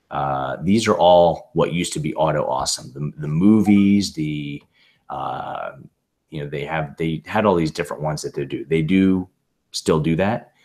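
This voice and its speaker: Very low-pitched man, slightly hoarse voice, casual software demo, video call mic. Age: adult with a young son. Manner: rambling, with many fillers and restarts. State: recovering from laryngitis. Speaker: presenter demonstrating software. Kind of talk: casual screen-share tutorial.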